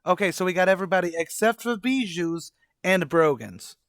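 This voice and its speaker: An actor playing a wisecracking, scheming and self-important tavern manager in an old-time radio comedy, talking in folksy slang. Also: male voice, high-pitched, expressive, moderate pace, a bit bright, no echo, clean studio sound. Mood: wry scheming.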